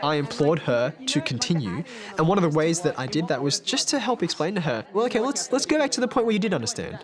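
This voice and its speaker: A man's voice: a little high, sing-song, fast. A man, a maths teacher, talking earnestly and reflectively in a documentary interview. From Australia. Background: background music.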